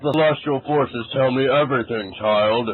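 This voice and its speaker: A man's voice: mid-range voice, close to an everyday voice. Man playing a portentous female fortune teller, tinny sound. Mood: solemn, mysterious. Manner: portentous, dramatic, drawn-out delivery. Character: mystical female fortune teller, solemn and theatrical. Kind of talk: amateur fan fiction dramatic reading. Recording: thin phone-like sound with background noise.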